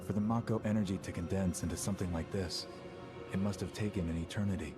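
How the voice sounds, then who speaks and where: Deep-voiced, aloof veteran soldier, acted by a man. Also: fairly even intonation, natural voice. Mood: measured, musing. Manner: measured, formal, dryly condescending. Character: composed, aloof veteran male soldier, coolly commanding. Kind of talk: dramatic game cutscene acting. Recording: loud music behind the voice. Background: loud music.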